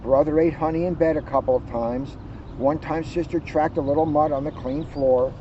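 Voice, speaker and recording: A man's voice: a little high, fairly even intonation, normal speed, warm tone. A man reading and explaining to children in an emphatic way, repeating key phrases, outdoors. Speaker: police officer reading to children. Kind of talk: storybook narration and casual explanation. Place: outdoors, sitting outside. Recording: muffled outdoor recording with traffic noise.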